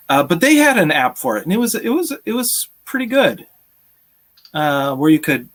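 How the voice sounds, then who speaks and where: A man's voice: mid-range voice, a bit bright. A man in his thirties hosting a casual live stream from home in a jovial, chatty way. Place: small room at home, video call.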